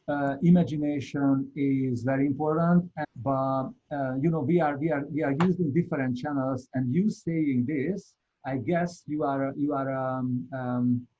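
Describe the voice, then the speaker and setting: A man with a Hungarian accent presenting a live webinar, talking earnestly and haltingly with many repeated words. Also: medium pitch, dark tone, husky, lo-fi sound.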